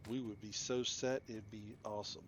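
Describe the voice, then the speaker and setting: Man, lowish voice, casual gamer commentary, loud combat noise behind him. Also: fairly even intonation, moderate pace. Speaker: gamer narrating a playthrough.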